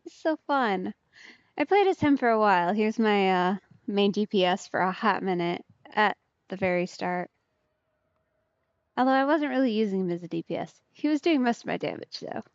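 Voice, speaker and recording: A woman's voice: mid-range voice, animated, normal speed, clear, resonant tone, no echo, muffled recording. A woman giving casual, chatty let's play commentary, reacting aloud as a game streamer.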